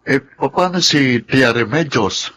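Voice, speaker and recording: A man's voice: medium pitch, animated, slow pace, poor audio. A man with a Filipino accent playing a bossy, protective older brother figure in a radio drama, with a dry, scolding manner. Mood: surprised. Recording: clear background noise behind the dialogue.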